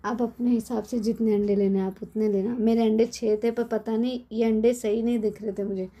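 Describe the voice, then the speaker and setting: A middle-aged woman with a hoarse, cold-worn voice, chatting casually as she explains a home recipe step by step in her kitchen. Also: fairly high pitch, flat delivery, fairly quick, dark tone. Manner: chatty, explains step by step with frequent tag questions. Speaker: cooking channel host. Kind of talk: casual cooking tutorial.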